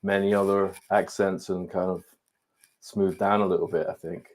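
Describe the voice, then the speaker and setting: A man in his forties from Scotland, his accent softened by years in London, a guest in a casual online chat who often repeats words as he gets going. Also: sits low, level tone. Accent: softened Scottish with London influence. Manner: halting, repeats words as he starts sentences. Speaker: guest, ADHD coach.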